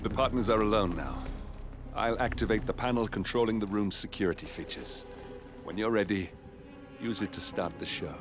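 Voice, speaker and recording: An actor playing a grim, vengeful ex-operative in a video game, speaking in a measured, controlled and deliberate way. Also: male voice, on the low side, over music, close to an everyday voice. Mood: focused, calm. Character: grim, vengeful male ex-operative guiding an assassin partner. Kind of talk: cinematic video game dialogue.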